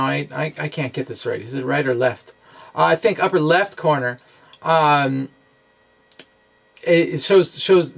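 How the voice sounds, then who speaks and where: Male science explainer, informal and unhurried, halting delivery with self-corrections. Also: a little high. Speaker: science video blogger. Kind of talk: informal physics explainer narrating animations.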